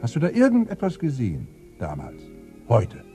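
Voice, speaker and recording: Insistent detective, warm dark tone, animated probing delivery, radio play, faint opera music behind. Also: male voice, medium pitch, normal speed. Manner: probing, rattling off questions and lists. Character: insistent, suspicious detective thinking aloud. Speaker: actor in radio crime drama. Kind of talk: 1990s German radio play acting.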